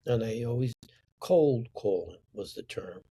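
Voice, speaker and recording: An older man from Sicily, telling stories from his life slowly and with emphasis. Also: medium pitch, lively intonation, mellow, breathy edge. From Sicily, Italy. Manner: slow, deliberate, emphatic storytelling. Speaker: interview guest, artist. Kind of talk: reflective storytelling.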